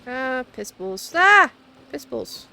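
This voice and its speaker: A woman's voice: sits high, sing-song, slow pace, vocal fry, sharp tone, slight background noise. A woman hosting a game livestream, chatting casually and commentating as she plays. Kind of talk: casual gameplay commentary, reading game text aloud. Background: game music behind the voice.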